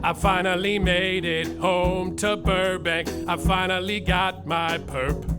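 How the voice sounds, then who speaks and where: An actor playing and narrating a bumbling small-town detective in a live comedy musical on stage. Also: male voice, high-pitched, steady tone, slow, over loud music. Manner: theatrical, over-the-top, comic narration.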